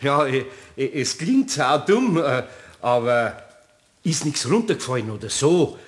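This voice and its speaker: A man's voice: medium pitch, very animated, measured pace. A male actor with a Bavarian accent in a radio play, as an earnest, awkward, folksy older craftsman. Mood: hesitant, embarrassed. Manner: hesitant, folksy, plain-spoken. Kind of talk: German radio play acting.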